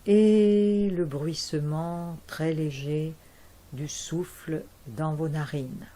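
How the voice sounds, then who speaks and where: A woman leading a guided yoga nidra relaxation as an instructor, giving calm, measured instructions with deliberate pauses. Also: deep voice.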